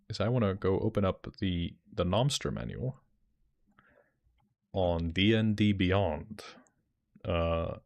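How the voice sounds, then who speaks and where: Icelandic-accented man chatting on stream. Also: low-pitched. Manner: rambling, many restarts, answers chat. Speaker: streamer and dungeon master. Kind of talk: casual livestream chat with viewers.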